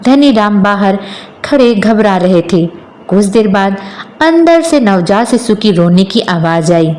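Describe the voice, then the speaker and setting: A woman's voice: mid-range voice, animated, takes it slowly, a little mellow, echoey room. A woman storyteller reading a scripted moral tale as narration.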